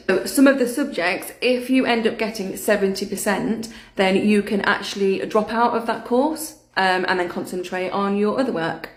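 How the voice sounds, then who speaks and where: British mom, mid pitch, explains point by point, roomy echo. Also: female voice. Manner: steady, listing points one by one. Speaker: parent. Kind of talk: explanatory talk to camera.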